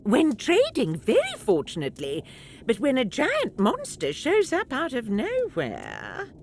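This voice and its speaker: A woman playing a feisty, sarcastic rodent-folk trader in a brisk audio drama performance. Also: high voice, huge pitch swings, darkish tone, slight background noise, full character voice. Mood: sardonic.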